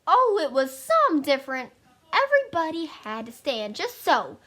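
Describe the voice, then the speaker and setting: A woman's voice: high voice, very animated, moderate pace, a bit bright. A woman playing a grumbling elderly townsperson who reminisces in a folksy way, in an amateur play acted over video call. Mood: nostalgic. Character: grumbling elderly town old-timer, nostalgic for strict ritual. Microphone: video call microphone.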